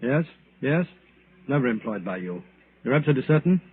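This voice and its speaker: Brisk radio police inspector, tinny. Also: male voice, mid-range voice, big pitch swings, husky. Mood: brisk questioning. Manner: clipped, authoritative. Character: brisk, businesslike police inspector, firm and probing. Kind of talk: 1940s radio acting. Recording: noisy, tinny old radio recording.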